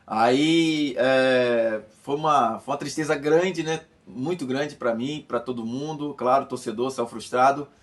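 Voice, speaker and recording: A man in his fifties from Bahia, a former footballer calmly recounting his old club season, with many fillers and repeated words. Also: mid-range voice, measured pace, few pauses. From Brazil, Bahia. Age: 50s. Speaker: former footballer giving a testimony. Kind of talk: recorded personal testimony.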